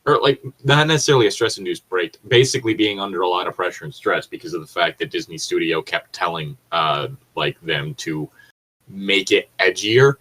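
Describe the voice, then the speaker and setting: A man with a low-pitched voice co-hosts a casual commentary podcast. He rambles through his points, doubles back to correct himself and swears freely. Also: a little fast, fairly bright tone, slightly rough, poor audio. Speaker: podcast co-host reading and discussing creepypastas.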